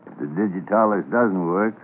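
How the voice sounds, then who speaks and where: An American actor playing a gruff, fatherly older senior doctor in a classic radio drama, speaking in a measured, emphatic way. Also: deep voice. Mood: grave, clinical. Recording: muffled, hissy old radio recording.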